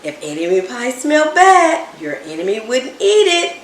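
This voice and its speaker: Woman voicing a quiet, knowing storybook dad, echoey. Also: high-pitched, sing-song. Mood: matter-of-fact. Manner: quiet, measured, confiding. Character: calm, secretive, knowing dad of a young boy. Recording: roomy echo, distant mic, background noise.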